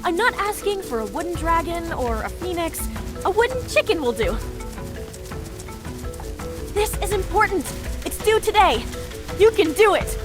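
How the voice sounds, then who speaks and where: An actress playing a plucky, pushy young martial hero in a video game, with an upbeat, coaxing way of talking. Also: female voice, extremely high pitch, big pitch swings, moderate pace, pauses often. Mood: urging. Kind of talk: dramatic game cutscene acting. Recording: music behind.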